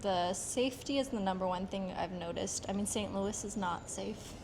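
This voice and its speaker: Young American woman, mid-range voice, soft and hesitant, casual street-interview answers. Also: mid-range voice, normal speed, a little hiss or hum, rough recording. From United States. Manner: soft-spoken, filler-heavy, hesitant phrasing. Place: outdoors on a street in Japan.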